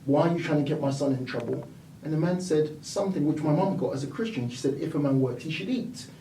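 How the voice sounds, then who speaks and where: A man from the United Kingdom tells his story with energy, slipping in and out of voices as he quotes people. His voice sits on the higher side. The room is echoey and he sounds a little far from the mic. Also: expressive, fast, warm tone, a little hiss or hum. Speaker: property investor and mentor. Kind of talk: storytelling interview guest.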